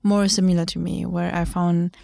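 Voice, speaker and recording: A woman's voice: on the low side, flat delivery, normal speed, gravelly, a little mellow, dry recording, studio quality. A young woman from Germany whose first language is German, a journalist and writer, talking reflectively in a casual interview and laughing often.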